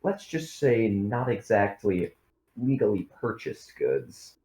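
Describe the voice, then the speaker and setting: A man's voice: fairly low pitch, big pitch swings, slow pace, a little mellow, echoey room. A man playing a tabletop roleplaying game, speaking casually as his character and describing what that character does.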